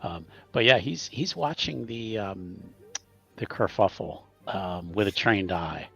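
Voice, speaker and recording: A man's voice: sits low, takes it slowly, gravelly, bright, forceful tone, slightly rough, faint music behind. A man casually explaining his character's actions as a tabletop game player, on a slightly dull microphone.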